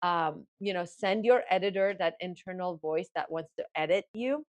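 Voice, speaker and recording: Woman, farsi is her first language, lowish voice, chatty guest explaining stuff, acts out thoughts. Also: moderate pace. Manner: explains in lively bursts, acts out inner thoughts. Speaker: guest author and ghostwriting coach. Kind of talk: casual interview talk.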